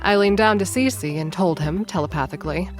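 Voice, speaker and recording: A woman's voice: on the low side, fairly quick, soft background music, natural voice. A woman playing a bubbly, rambling would-be influencer. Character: scatterbrained young woman, wannabe influencer time traveler. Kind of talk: audio drama narration to the listener.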